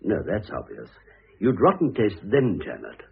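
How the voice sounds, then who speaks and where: Raspy, swooping British gentleman suitor. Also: low voice, huge pitch swings, moderate pace, exaggerated voice. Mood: adoring, teasing. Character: devoted, teasing gentleman suitor, jaunty and impish. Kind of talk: old-fashioned radio drama acting. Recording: thin phone-like vintage radio sound.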